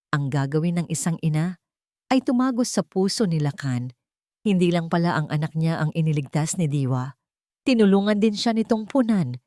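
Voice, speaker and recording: A woman's voice: low-pitched, a little mellow, no room sound, clean studio sound. A woman storyteller delivering scripted dramatic narration for an audio story.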